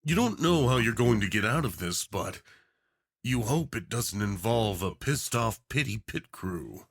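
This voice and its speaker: Creaky-voiced man narrating an audio roleplay. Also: on the low side, animated, unhurried, no room sound, studio quality. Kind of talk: scripted narration and channel talk.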